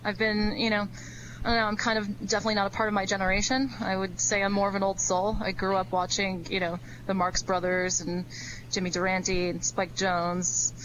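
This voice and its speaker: A woman's voice: medium pitch, flat delivery, a little fast, a bit bright. A woman speaking casually and earnestly as a guest actress in an interview over a call. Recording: dull, faintly noisy call.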